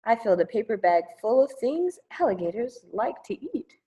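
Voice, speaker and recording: A woman's voice: a little high, big pitch swings, fairly quick, warm tone. A woman hosting a children's storytime, reading aloud playfully with casual, exclamatory asides. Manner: playful, exclamatory, commenting as she reads. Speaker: children's librarian storytime host.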